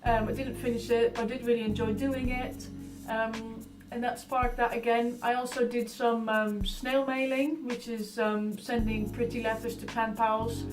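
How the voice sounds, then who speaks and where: A woman of about thirty with a Dutch accent, talking informally and a little hesitantly about her own life and work as an artist. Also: a little high. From Netherlands. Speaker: artist being profiled. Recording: roomy echo, distant mic, music behind.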